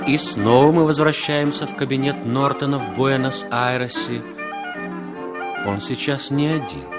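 A man's voice: mid-range voice, unhurried, lightly acted. A man narrating scene changes in a 1960s Soviet radio play. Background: music.

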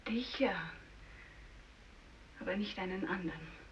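An actress playing a fearful young fisherman's wife in a German radio drama, speaking slowly in a hushed voice that drops toward whispers. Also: mid-range voice, close to an everyday voice. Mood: evasive, tense. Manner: slow, hushed, dropping into whispers. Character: fearful young fisherman's wife rejecting her stricken husband. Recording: dull distant recording with hiss.

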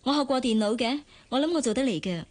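An actress playing a modest, guarded young office worker in a radio drama, polite and demure, with a Hong Kong Cantonese accent. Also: female voice, sits high, lively intonation, fast talker, darkish tone. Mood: earnest, deferential.

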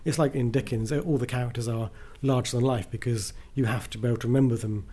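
A man with a dark, mellow voice at an average pitch talks as an interviewed writer. He is casual and enthusiastic, interrupting himself as he rambles along. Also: steady tone, fast talker.

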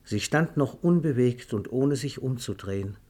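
A man narrating a literary radio drama in a measured, matter-of-fact manner. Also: medium pitch, expressive, dark tone.

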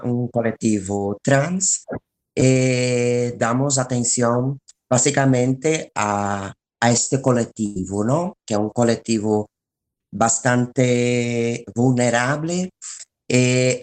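An interview guest explaining a collective's work in halting phrases with repetitions. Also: mid-range voice. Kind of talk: spoken radio interview, explaining.

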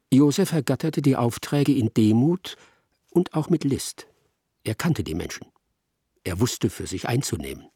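A man narrating a literary radio play in a husky, rough voice. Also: lowish voice, dark tone, no echo, studio quality.

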